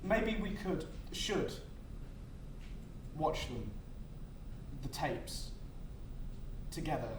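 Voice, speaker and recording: A man's voice: fairly high pitch, very animated, very slow, pauses often, heavily acted. A man acting a guilt-ridden, lovelorn afterlife guide in a stage play, formal and hesitant. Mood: tender, tentative. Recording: echoey stage, distant mic, faint noise.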